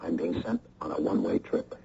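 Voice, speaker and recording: An actor playing a cool, guarded young American husband who secretly works as a counter-spy in a radio drama. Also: male voice, sits low, wildly swooping pitch, a little fast, creaky, warm tone, exaggerated voice. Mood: hushed, urgent. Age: young adult. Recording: dull, lightly noisy old radio recording.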